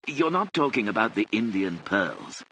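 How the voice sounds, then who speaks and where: In a novel reading, a man plays an exasperated lord, clipped and incredulous. His voice is bright and swoops about, over faint noise. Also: fairly low pitch, sing-song, moderate pace, voice pushed up, poor audio. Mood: alarmed. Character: flustered, exasperated English lord, family father.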